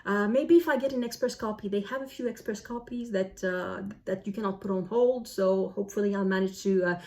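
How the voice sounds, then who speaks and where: A woman talking casually as a book vlogger reviewing to camera, often pausing and rephrasing as she goes. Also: medium pitch, dark tone.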